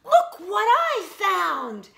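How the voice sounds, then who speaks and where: A woman's voice: a little high, moderate pace. A woman doing a wide-eyed young girl frog. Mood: excited. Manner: excited, breathless exclamations. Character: curious young female frog full of wonder.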